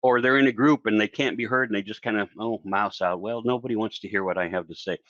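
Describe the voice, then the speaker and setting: Chatty, lively man coaching on speaking. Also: lowish voice, fast talker, dry recording, muffled recording. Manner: chatty and animated, acts out quoted voices. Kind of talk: casual solo video lesson.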